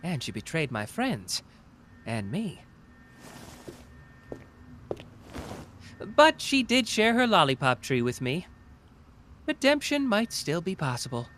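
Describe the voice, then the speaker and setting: A man acts out a smug young male detective in an anime audio drama. His voice sits on the high side and swings in pitch as he teases, pausing theatrically with total self-assurance. Background noise and ambience run under the recording. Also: huge pitch swings, fairly bright tone, full character voice. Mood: brooding, reflective. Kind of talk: scripted anime roleplay ASMR audio drama.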